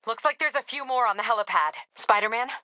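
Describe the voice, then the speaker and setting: Forceful, no-nonsense police captain. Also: female voice, a little high, fast, no echo. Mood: brisk, dry. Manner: clipped, businesslike, dryly wry. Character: no-nonsense female police captain, brisk, dry, weary ally. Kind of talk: video game voice acting, radio dispatch briefings.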